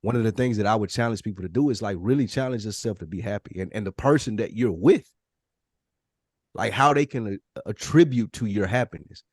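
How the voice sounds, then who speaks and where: A man's voice: lowish voice, a little fast, darkish tone, breathy edge, no echo, clean studio sound. A man co-hosting a podcast, talking casually in an earnest, emphatic way and repeating his points. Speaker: podcast co-host. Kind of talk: casual panel discussion.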